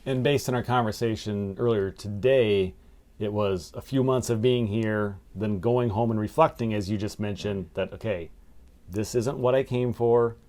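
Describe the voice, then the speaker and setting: A man's voice: fairly low pitch, moderate pace, a little mellow. A man hosting a podcast interview, encouraging and summing up what his guest says. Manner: encouraging, sums up the guest's points. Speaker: podcast host. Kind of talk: interview conversation. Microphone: video call.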